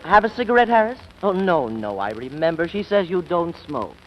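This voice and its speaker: A man's voice: on the high side, very animated, fairly quick. A man acting a suave, courteous young college man with an American accent in a 1940s radio comedy. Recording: muffled, noisy old radio recording.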